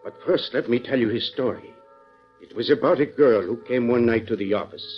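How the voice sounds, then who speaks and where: An actor playing a kindly, earnest mission padre with a Spanish accent in an old radio western. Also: male voice, mid-range voice, fairly quick, slightly rough, faint music behind, natural voice. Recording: muffled vintage radio recording.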